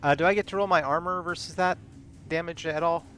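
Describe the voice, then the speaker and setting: A man's voice: fairly high pitch, steady tone, fairly quick, sharp tone. A man chatting casually as a player at a tabletop roleplaying game, calling out his moves and rolls. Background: music.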